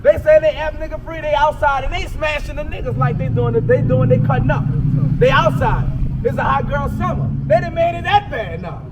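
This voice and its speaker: Male street preacher, very high voice, emphatic colloquial call-and-response delivery, recorded outdoors over traffic noise. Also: fairly quick, over a noisy crowd. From United States. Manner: emphatic, colloquial, checks in with listeners. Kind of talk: street sermon with call-and-response to a reader and bystanders. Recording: distant mic, clear outdoor traffic noise.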